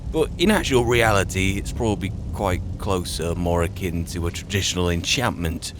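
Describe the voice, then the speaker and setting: A man roleplaying a musing, wry adventurer in a fantasy game, speaking in a halting, dry, reflective way. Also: low voice, music in the background, natural voice. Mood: explaining, dry. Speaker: player narrating a roleplay. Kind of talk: in-character game roleplay monologue.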